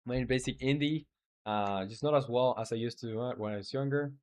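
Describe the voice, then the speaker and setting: Male game-dev streamer, Spanish first language, mid pitch. Casual, halting think-aloud delivery with lots of pauses. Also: darkish tone. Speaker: game developer streaming live. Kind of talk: casual live-stream chat and coding talk.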